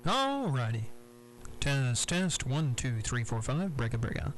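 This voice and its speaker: Folksy Southern man with lively, swooping pitch. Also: measured pace. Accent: Southern American. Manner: folksy, courteous. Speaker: host of a CB radio check-in. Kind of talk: casual radio roll call. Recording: noisy CB radio session, clear background noise.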